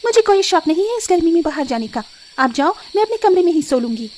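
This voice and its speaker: A woman's voice: extremely high pitch, sing-song, fast talker, darkish tone, a little hiss or hum. A woman voicing a haughty, spoiled young city daughter-in-law, snobbish and scornful, in a cartoon story. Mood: disdainful. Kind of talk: acted cartoon story dialogue.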